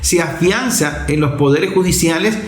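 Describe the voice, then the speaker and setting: A man's voice: on the high side, moderate pace, echoey room. A man delivering a political commentary monologue emphatically, leaning on rhetorical questions and repeated phrases.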